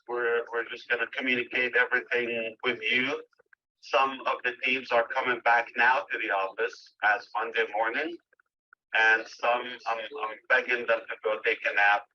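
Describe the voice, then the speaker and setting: A man giving an informal update to members as a company leader on a group call, speaking haltingly with pauses mid-phrase. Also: medium pitch, fairly even intonation, sharp tone, a little hiss or hum. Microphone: phone or internet call line. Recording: dull lo-fi phone call audio.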